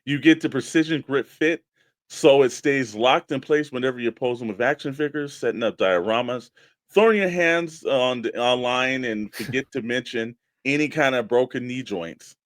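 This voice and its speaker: A man's voice: medium pitch, moderate pace, dry recording. A man co-hosting a toy collecting radio show in casual chat, with informal, laid-back buddy banter.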